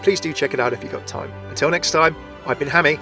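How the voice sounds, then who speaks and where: A man's voice: fairly high pitch, fast talker, creaky, over music. A man hosting a scripted game lore video, chatty and often addressing his viewers directly.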